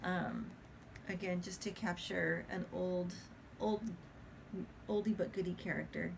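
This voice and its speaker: A woman reading a picture book aloud in a chatty, hesitant way, pausing to explain the pictures. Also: lowish voice, fairly even intonation, vocal fry, noisy background. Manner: chatty, hesitant with many fillers. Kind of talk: casual read-aloud with commentary.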